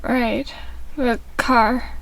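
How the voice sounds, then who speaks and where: A woman reads out an injured teenage boy from a storybook, speaking faint and strained from a hospital bed. His voice sits fairly high and hushed, and it sounds dry and close. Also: steady tone, drawn-out words, creaky, no room sound, heavily acted, low-quality recording. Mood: weak. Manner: weak, hushed, strained speech.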